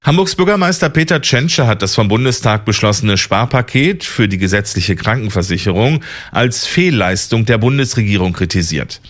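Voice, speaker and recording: A man reading the radio news in a steady, even, scripted delivery. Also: lowish voice, moderate pace. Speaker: newsreader.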